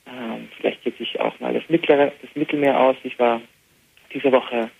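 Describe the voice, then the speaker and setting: A young man with Arabic as his first language and an Austrian German accent talks unhurriedly, padding his answers with filler sounds. His gravelly voice comes over a thin, tinny phone line. A faint hiss sits underneath. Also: medium pitch. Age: young adult. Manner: relaxed, many filler pauses. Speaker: interview guest, band musician. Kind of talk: phoned-in radio interview. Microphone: telephone.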